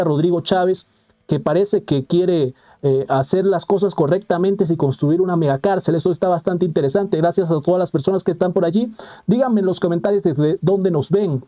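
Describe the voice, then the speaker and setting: Dark-voiced man hosting a live stream. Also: sits high. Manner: halting, many "eh" fillers and restarts, reads out viewer comments. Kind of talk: live commentary reacting to a news clip.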